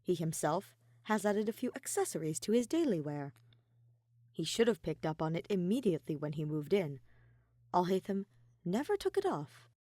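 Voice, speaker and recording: A woman narrating a written story in an expressive, scripted reading style. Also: on the low side, no room sound, studio quality. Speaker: podfic narrator reading fan fiction.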